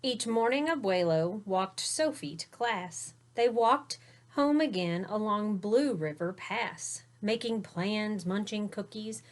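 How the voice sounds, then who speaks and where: A woman with a very unhurried delivery and a medium-pitched voice reads a picture book aloud. She sounds like a teacher addressing young children, phrasing expressively and explaining things in simple words. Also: expressive. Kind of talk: storybook read-aloud with explanatory asides.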